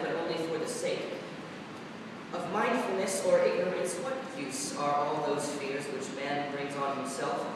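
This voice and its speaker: An actor playing a lonely, philosophical, forgetful Sandman in a staged reading of a verse play, speaking in earnest, formal diction. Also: natural voice. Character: lonely, philosophical, amnesiac dream-dwelling Sandman, male. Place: indoor performance space, far microphone. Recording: echoey hall from far off, noisy rumble.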